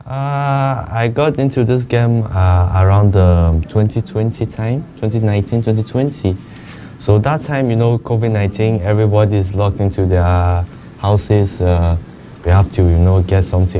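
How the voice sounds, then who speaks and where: A young man, a native Chinese speaker, answering interview questions hesitantly and softly. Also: low-pitched, noisy background. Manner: hesitant, soft-spoken, laughs shyly. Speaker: esports player guest.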